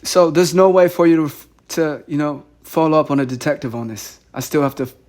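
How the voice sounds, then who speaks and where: A man's voice: fairly high pitch, fairly quick, darkish tone. A man calling in, earnest and insistent, often repeating himself. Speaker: caller. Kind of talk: phone call.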